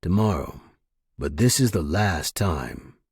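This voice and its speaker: A man's voice: sits low, big pitch swings, slow, slightly rough, dry recording, studio quality, pitched below the performer's own voice, full character voice. A man voicing a terse, grudging male supplier in a story, curt and weary. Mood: grudging, firm. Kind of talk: story character dialogue.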